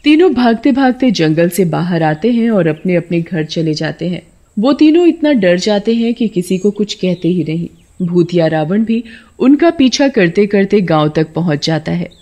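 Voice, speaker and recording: A woman's voice: lowish voice, animated, normal speed, mellow, dull audio. Woman narrating a children's story.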